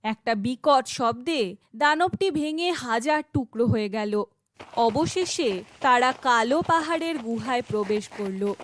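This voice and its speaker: A woman narrating a scripted fairytale for an animated children's story. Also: fairly high pitch, a little slow, slight background noise.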